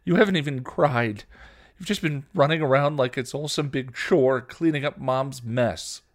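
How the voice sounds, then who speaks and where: A man's voice: mid-range voice, moderate pace, voice pushed up, close to an everyday voice. A man voicing a hurt, angry teenage girl, a defiant little sister who stammers and accuses in a dramatic story reading. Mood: seething.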